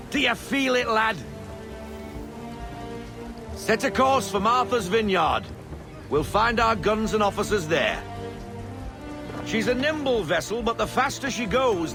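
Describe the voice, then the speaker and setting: An actor playing a hearty, gruff older ship's first mate with boisterous, salty talk in a video game. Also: male voice, sits high, heavily acted. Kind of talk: video game dramatic acting.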